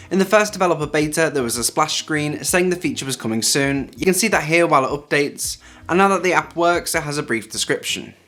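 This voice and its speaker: A man's voice: on the high side, fairly bright tone. A man hosting a tech video, narrating a scripted hands-on review. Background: background music.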